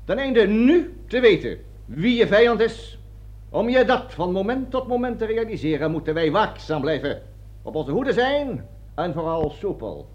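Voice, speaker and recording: High-pitched pompous army colonel. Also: male voice, very animated, moderate pace, a little mellow. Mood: lecturing, brisk. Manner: pompous, lecturing, commanding. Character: bossy, pompous American army colonel instructing troops. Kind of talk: satirical radio play acting. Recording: dull lo-fi old radio recording.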